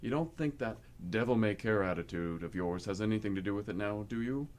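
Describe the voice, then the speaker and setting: Low-voiced, easygoing God, played by a man. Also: fairly quick, a little mellow, close to an everyday voice. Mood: matter-of-fact, chiding. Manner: casual, hesitant, conversational. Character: God as an easygoing, mildly fussy, apologetic businessman. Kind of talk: comedic radio play acting.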